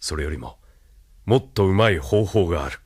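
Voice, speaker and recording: Deep, cold commanding officer. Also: male voice, animated, moderate pace, a little mellow, breathy edge, no room sound, studio quality, heavily acted. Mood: cold, calculating. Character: cold, calculating male military superior, quietly menacing. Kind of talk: Japanese audio drama acting.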